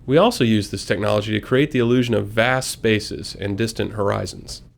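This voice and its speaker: A man's voice: lowish voice, brisk, gravelly. A man delivering scripted technical commentary as a game developer.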